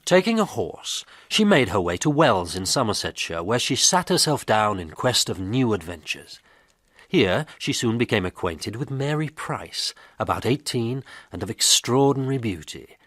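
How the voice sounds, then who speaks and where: A man's voice: mid-range voice, big pitch swings, moderate pace, a bit bright, no echo. Pompous Georgian male narrator, swooping delivery. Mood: wry relish. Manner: ornate, moralising, theatrical. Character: pompous 18th-century male author narrating a scandalous pamphlet. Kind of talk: period radio drama narration.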